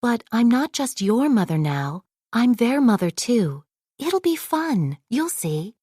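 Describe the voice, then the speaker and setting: Woman doing a kind queen mom in a kids story, gentle n reassuring, slow. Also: a little high, big pitch swings, husky, dry recording, clean studio sound, full character voice. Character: kind, reassuring royal mother.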